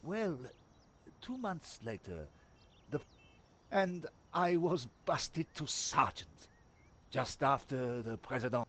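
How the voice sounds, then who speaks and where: Pompous, excitable Frenchman, swooping pitch. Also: slow pace, long pauses. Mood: sheepish confiding. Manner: pompous, theatrical. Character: two excitable Frenchmen: café waiter and bumbling police sergeant. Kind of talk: adventure game voice acting.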